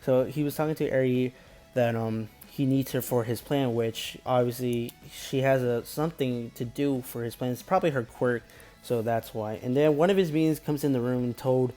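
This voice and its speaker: Male reviewer, medium pitch, mellow tone, casual unscripted recap, rambling and filler-heavy, light music underneath. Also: fairly even intonation, moderate pace. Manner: rambling, repetitive filler phrases, stumbling over names. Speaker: anime episode reviewer.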